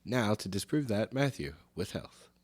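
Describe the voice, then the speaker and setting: A man's voice: lowish voice, very animated, normal speed, breathy edge. A man co-hosting a casual podcast, tossing in wry remarks and quizzing the others. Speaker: podcast co-host. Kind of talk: casual chat.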